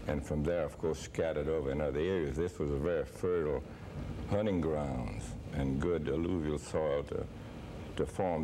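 An older Cajun man, a native French speaker from South Louisiana, reminiscing slowly and haltingly in an archival interview. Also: extremely low pitch. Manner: slow, halting, folksy. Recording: old archival tape with faint hiss.

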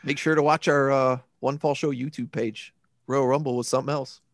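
A man co-hosting a casual podcast, upbeat and quick to laugh. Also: medium pitch, steady tone, dry recording, studio quality. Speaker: podcast co-host. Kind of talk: casual chat.

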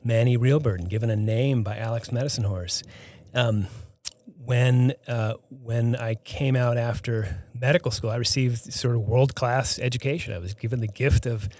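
A middle-aged man from the Boston area, a physician and health center CEO, answering interview questions thoughtfully with many pauses and restarts. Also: mid-range voice, steady tone, a little mellow, no room sound. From Boston area, United States. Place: studio.

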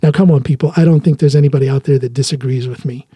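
A man's voice: medium pitch, steady tone, rapid-fire, dark tone, husky, no room sound. A man hosting a solo podcast, talking earnestly in a loose monologue and often restarting his sentences. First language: English. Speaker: podcast host.

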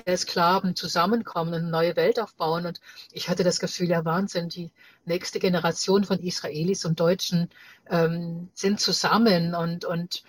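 A middle-aged or older woman from Germany recounting personal experiences as an interview guest, reflectively and haltingly, searching for words. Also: on the low side, fairly even intonation, no room sound. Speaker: interview guest, journalist and activist.

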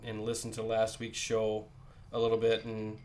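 A man's voice: lowish voice, completely flat delivery, moderate pace, darkish tone. A man co-hosting a sports podcast. He talks with confidence, cites stats and jokes with his co-hosts. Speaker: podcast co-host. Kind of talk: casual sports talk.

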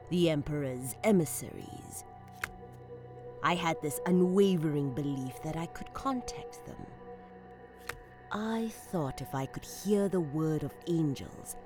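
An actress playing a haunted, devout woman giving a solemn, measured dramatic monologue in an audio drama. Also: low voice, heavily acted. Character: haunted woman confessing a dark religious past.

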